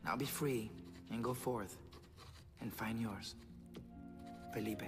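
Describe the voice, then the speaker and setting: A lovestruck young prince from an animated film. He declaims with theatrical earnestness and romance. His voice rings in a long reverb, with prominent music behind. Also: fairly low pitch, takes it slowly. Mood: tender, resigned.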